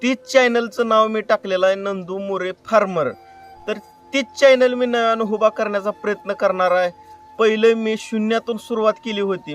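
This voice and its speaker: A man's voice: high-pitched, a bit bright, far from the mic. A man making an earnest, plain-spoken personal appeal to his viewers, repeating himself for emphasis. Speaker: YouTube creator and prank caller.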